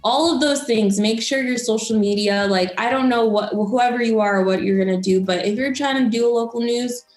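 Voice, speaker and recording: A woman's voice: on the high side, fairly quick, a little mellow. A young woman from Florida who works as a news anchor, giving chatty, encouraging advice with many fillers. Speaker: broadcast journalist and news anchor. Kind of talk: casual interview advice. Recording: roomy echo, faint music.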